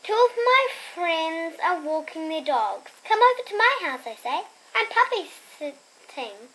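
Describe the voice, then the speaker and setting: A young girl reading a picture book aloud expressively, with chatty, quieter asides to her listeners. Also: very high voice, big pitch swings, measured pace, poor audio. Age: child. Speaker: storybook reader and host.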